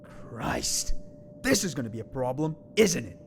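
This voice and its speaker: Man playing a brash, lively teen boy. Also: mid-range voice, measured pace, slight background noise. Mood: irritated. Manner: scornful, swearing, emotive. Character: brash, foul-mouthed, laid-back male high schooler with a grudge. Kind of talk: audio drama acting.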